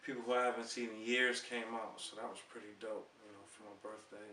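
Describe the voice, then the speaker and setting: A man's voice: on the low side, quick pace. A man who is a guest DJ on a casual talk show, telling stories in an easy-going way. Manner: relaxed storyteller, easy-going. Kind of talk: casual interview chat. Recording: echoey room from far off, faint noise.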